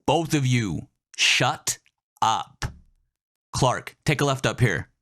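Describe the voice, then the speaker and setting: A man's voice: medium pitch, animated, takes it slowly, bright, forceful tone, dry recording, studio quality, pitched above the performer's own voice. A man voicing a cold, commanding young quarterback who barks curt orders in a storybook horror reading.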